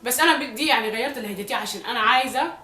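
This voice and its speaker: A young Sudanese woman vlogging casually to her viewers, speaking with a Sudanese Arabic accent with some Egyptian traces and a slightly hoarse voice, often repeating phrases for emphasis. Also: on the high side, very fast, bright, forceful tone, reverberant, a little hiss or hum. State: slightly hoarse from recent illness. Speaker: YouTuber. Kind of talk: casual vlog talk to viewers.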